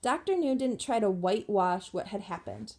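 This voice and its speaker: A woman reading a memoir aloud in a measured, earnest way, with occasional restarts. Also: mid-range voice, lively intonation, mellow, roomy sound. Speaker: audiobook reader.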